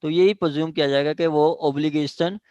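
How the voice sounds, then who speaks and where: Pakistani guy teaching law class, kinda high voice, dry sound, lots of umm and "theek hai". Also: fairly even intonation, a little mellow, clean tone, no room sound, dull audio. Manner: explains slowly with many fillers, checks understanding. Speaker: law lecturer. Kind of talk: classroom lecture on evidence law.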